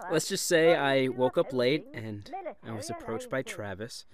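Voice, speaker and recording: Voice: medium pitch, sing-song, unhurried, a crowd in the background. An actor voicing a wimpy, bookish teenage boy in a cartoon comic dub, hesitant and self-deprecating. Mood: sheepish. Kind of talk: animated comic dub acting. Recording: music behind the voice.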